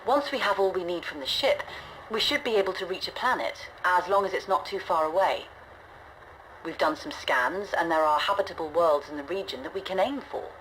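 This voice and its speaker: A resolute, weary woman in command of a stranded starship , played by an actress, speaks in a low voice. Her delivery is measured, grave and reflective, like a scripted log in a science fiction game. A faint noisy ambience sits behind her words. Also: a bit bright, lightly acted. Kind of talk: scripted audio log narration, dramatic game acting.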